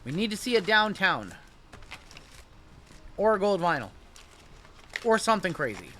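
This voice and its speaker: A man in his late thirties runs a live card break stream, talking in a loose, chatty way as he reads off players and answers viewers. His voice sits a little high and has a bright, forceful edge. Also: big pitch swings, a little slow, slight background noise. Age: 30s. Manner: chatty, rattles off player names and chats with viewers.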